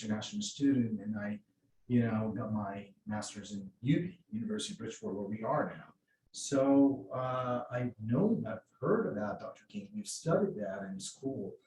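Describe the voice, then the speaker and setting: A middle-aged man from Egypt speaking on a panel in English, with many halting restarts and fillers. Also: medium pitch, warm tone. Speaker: mosque outreach volunteer. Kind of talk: panel discussion. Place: event room. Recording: roomy distant sound with faint noise.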